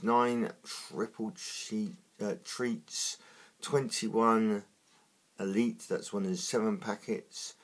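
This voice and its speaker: A man with a croaky morning voice, talking through opening trading card packs in a slow, halting way with many fillers. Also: fairly low pitch. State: croaky, just woken up. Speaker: trading card unboxing host. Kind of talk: casual unboxing commentary.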